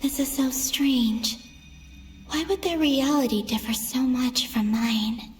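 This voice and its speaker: A woman voicing a serene, earnest young moon goddess in an anime game, soft-spoken and measured. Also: high voice, faint music behind. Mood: puzzled. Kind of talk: anime game cutscene acting.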